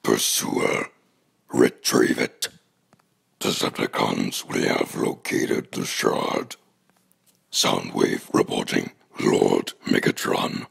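An extremely deep robot spy, loyal and menacing. He speaks very slowly in a cold, heavily rasping cartoon growl, clipping every phrase. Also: heavily acted. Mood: cold, dutiful. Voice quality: very gravelly, raspy monotone growl. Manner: slow, clipped, deliberate. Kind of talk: cartoon voice audition.

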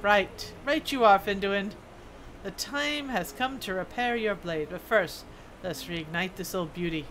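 A woman's voice: mid-range voice, a little slow, fairly bright tone, slight background noise, natural voice, rough recording. A woman voicing a proud, folksy old male dwarf blacksmith from a fantasy game. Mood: hearty. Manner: folksy, proud, reading scripted dialogue.

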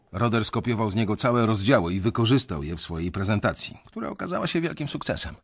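A man's voice: medium pitch, slightly rough. An actor playing a resentful young investment banker who tells his story bitterly in a radio drama.

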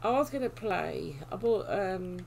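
British lady streaming a game, chatty, kinda losing her voice, creaky and slow. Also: mid-range voice, expressive, darkish tone, slight background noise, low-quality recording. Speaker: livestream host. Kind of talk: casual livestream chat while gaming. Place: indoor room at home.

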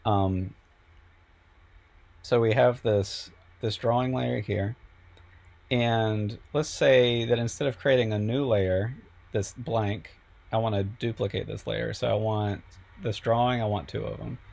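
A man teaching a software class over a screen recording, a little sick and losing his voice, explaining step by step with frequent ums. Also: lowish voice, steady tone, a little slow, creaky. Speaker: instructor.

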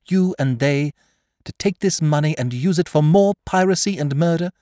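A man's voice: sits low, expressive, a little slow, darkish tone, dry recording, studio quality. A man voicing a spirited, earnest young woman who speaks with heat. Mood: indignant. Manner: earnest, heated.

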